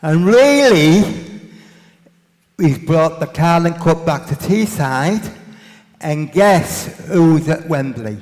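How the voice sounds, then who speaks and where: A man speaking to a crowd on stage, halting and repeating himself. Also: a little high, expressive, darkish tone. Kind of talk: unscripted speech to a crowd. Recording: reverberant hall with crowd noise.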